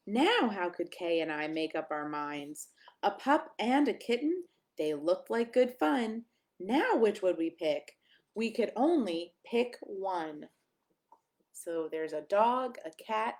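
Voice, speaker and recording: A woman reading a picture book aloud at children's storytime, expressive and sing-song, with emphatic pauses and little asides to the listeners. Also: mid-range voice.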